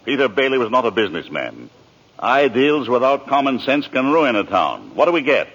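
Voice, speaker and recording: Cold, contemptuous old tycoon. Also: male voice, medium pitch, huge pitch swings, moderate pace, a bit bright, breathy edge, full character voice. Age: older. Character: cold, contemptuous old rich businessman, villainous. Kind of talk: 1940s radio drama acting. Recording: dull old radio, crowd murmur behind.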